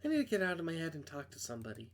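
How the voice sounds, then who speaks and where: A man's voice: fairly high pitch, wildly swooping pitch, racing. A man voicing an anxious young woman in a visual novel, nervously scolding and reassuring herself. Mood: anxious, self-chiding.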